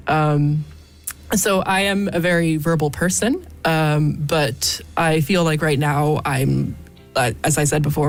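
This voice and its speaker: Low-voiced American woman, hesitant. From United States. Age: 30s. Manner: thoughtful, hesitant, many ums and uhs. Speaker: poet, studio guest. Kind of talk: radio interview. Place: radio studio. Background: faint background music.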